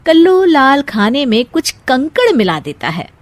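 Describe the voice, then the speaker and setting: A woman narrates a scripted family tale. Her pitch is on the high side and moves in a very lively way. The sound is dry and close, like studio storytelling. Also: a little slow, no echo.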